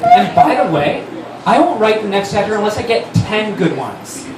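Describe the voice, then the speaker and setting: A man's voice: high voice, wildly swooping pitch, moderate pace, pitched above the performer's own voice. A man voicing an indignant teenage girl author, petulant and defensive, in a comic stage reading. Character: indignant teenage girl fan-fiction author scolding her critics. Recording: echoey distant stage recording, noisy.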